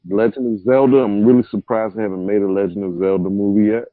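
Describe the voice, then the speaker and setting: Brief: lower-pitched guy, easygoing podcast co-host, dry tinny phone-like audio. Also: steady tone, fast, dry recording. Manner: loose, joking, lists ideas. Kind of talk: casual chat.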